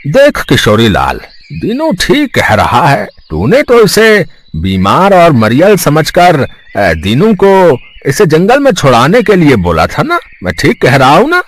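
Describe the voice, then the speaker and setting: A man voicing an elderly, reasonable village council headman who speaks slowly and haltingly in a moral story. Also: a little high, huge pitch swings, pitched above the performer's own voice, full character voice. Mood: measured, persuading. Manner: slow, halting, drawn-out delivery.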